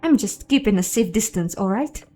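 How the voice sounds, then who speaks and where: A woman's voice: mid-range voice, expressive, normal speed, dark tone, natural voice. A touchy fellow from a fantasy game, acted out by a woman, the evasive sidekick. He is curt and defensive, with faint music behind him.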